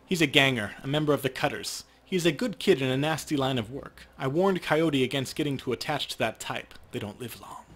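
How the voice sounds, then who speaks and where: A man voicing a courteous, concerned woman from a video game, in a measured, polite way. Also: medium pitch, fairly bright tone, lightly acted. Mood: calm concern.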